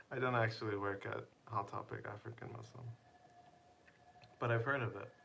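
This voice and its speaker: A man's voice: on the low side, gravelly, fairly bright tone, a little hiss or hum. A young man casually chatting on a live stream, playfully teasing and asking viewers questions.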